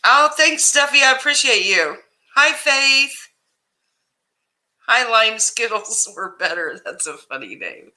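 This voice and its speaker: A woman hosts a relaxed livestream chat and greets her viewers one by one. Her loud voice carries, with a very bright, forceful edge. She talks at an easy, unhurried pace. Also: a little high. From Ohio, USA. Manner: loud voice that carries, chatty and laughing. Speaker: livestream host.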